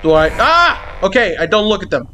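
A man's voice: sits high, very animated, brisk, pushed and bright, a little hiss or hum. A man giving reaction commentary on a horror game, jumpy and exclaiming, swearing and repeating himself. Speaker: gaming video creator.

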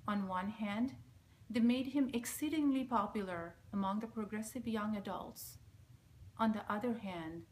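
Bangladeshi lady reading her statement slow and steady, solemn, mid pitch, faint hiss in a small room. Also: low-quality recording. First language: Bengali. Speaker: speaker giving a recorded message. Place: small indoor room, plain wall. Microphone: front-facing camera at close range.

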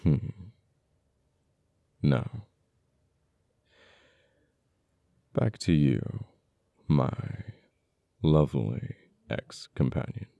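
A heavily creaky, dark male voice , acted by a man, plays a cold, uptight official in an audio roleplay. He taunts a captured former friend slowly, with deliberate dramatic pauses. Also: very deep voice, animated, no echo, dull audio, heavily acted. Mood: cold teasing.

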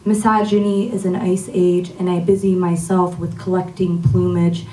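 A woman's voice: lowish voice, level tone, warm tone, a little hiss or hum. Young woman reading poetry, echoey room. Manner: measured, reading from a phone. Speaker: featured poet. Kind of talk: spoken word poetry reading. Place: community arts center, indoors. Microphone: podium mic on a stand. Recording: echoey room, mic heard from a distance.

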